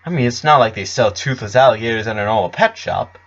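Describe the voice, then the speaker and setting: A man's voice: medium pitch, animated, brisk, fairly bright tone, muffled recording. A man voicing a curious, chatty young female cartoon pony.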